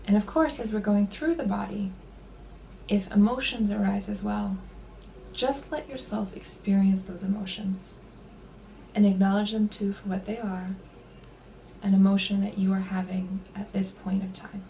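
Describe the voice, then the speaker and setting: Woman, meditation guide, dark mellow tone, gentle, soothing, unhurried pauses, breathy soft endings, slight background noise. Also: medium pitch, steady tone, poor audio. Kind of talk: guided body scan meditation.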